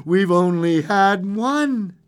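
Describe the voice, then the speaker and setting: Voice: medium pitch, lively intonation, slow pace, clear, resonant tone, voice pushed up. A storyteller voicing a grieving, hysterical old wife in a dramatic story reading, desperate and feverish. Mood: hysterical excitement. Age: older.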